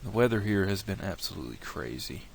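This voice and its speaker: Deep gravelly man with a cold. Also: fast talker, a little hiss or hum. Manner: relaxed, rambling, thinks aloud with restarts. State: has a cold, slightly nasal. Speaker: tutorial creator. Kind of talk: casual software tutorial.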